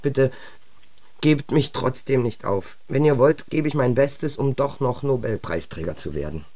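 A man acting in a scripted radio drama in standard German, with a deliberate, deadpan manner. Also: medium pitch, no room sound, natural voice. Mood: meek pleading.

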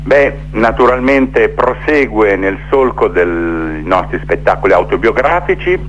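Middle-aged male guest, lowish voice, reflective storyteller on a muffled phone-in with faint hum. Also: animated, measured pace. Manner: reflective, builds rhythmic lists. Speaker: theatre maker interviewed as guest. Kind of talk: radio interview, storytelling. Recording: dull, noisy phone-in with hum.

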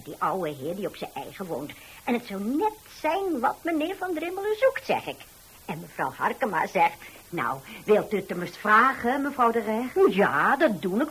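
An actress playing a chatty, pushy, gossiping middle-aged widowed neighbour in a Dutch radio play, speaking with a Dutch accent. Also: female voice, medium pitch, slightly rough, heavily acted. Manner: insistent, gossipy, animated.

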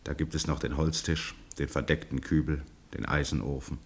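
An actor as a detached narrator of a literary radio drama, reading in a sober, precise, matter-of-fact way. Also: male voice, very deep voice, steady tone, normal speed.

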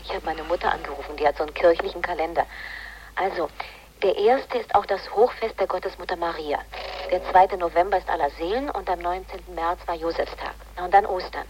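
A woman's voice: fairly low pitch, brisk, darkish tone, a little hiss or hum, close to an everyday voice, low-quality recording. An actress playing an earnest, diligent female police colleague in a German radio play, matter-of-fact and eager to explain. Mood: earnest, apologetic.

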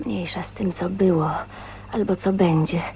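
A woman's voice: lowish voice, fairly even intonation, normal speed, dark tone, close to an everyday voice. An actress playing a shy, wistful woman of twenty-nine in love, speaking intimately and hesitantly in a radio drama. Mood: rapturous resolve. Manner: dreamy, emotional, hesitant phrasing with breathy whispers. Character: shy, dreamy 29-year-old spinster, wistful and newly in love. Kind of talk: radio drama acting, intimate monologue and dialogue. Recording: faint noise, 1980s radio drama.

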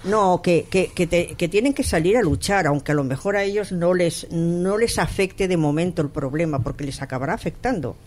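A woman from Barcelona hosting a radio talk discussion, animated and telling stories with acted-out quotes. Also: fairly low pitch, moderate pace, hardly any pauses. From Barcelona, Spain. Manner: animated storyteller, acts out quotes.

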